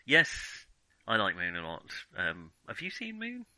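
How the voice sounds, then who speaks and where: A man's voice: fairly low pitch, huge pitch swings, a little slow, bright, forceful tone, no echo. A man co-hosting a film podcast, talking casually and hesitantly, qualifying his points as he thinks aloud.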